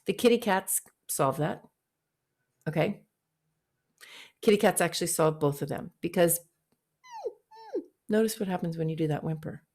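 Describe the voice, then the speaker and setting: A woman vocal coach with a fairly low voice, teaching an online singing master class and breaking off to sing short demonstration notes. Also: normal speed, pauses often, darkish tone. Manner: explains briefly, then sings short demonstration notes. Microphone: video call.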